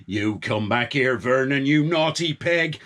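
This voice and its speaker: A man voicing an exasperated farmer in a children's story, whining complaints and breaking into scolding shouts. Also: mid-range voice, lively intonation, a little slow. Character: exasperated, grumbling male farmer chasing his naughty pig.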